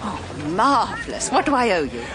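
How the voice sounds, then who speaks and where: An actress playing a brisk, romantic, well-spoken English woman detective in a radio drama, with theatrical enthusiasm. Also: mid-range voice, very animated, noisy background, close to an everyday voice. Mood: delighted. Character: Josephine Daunt, brisk romantic theatre-loving female private detective.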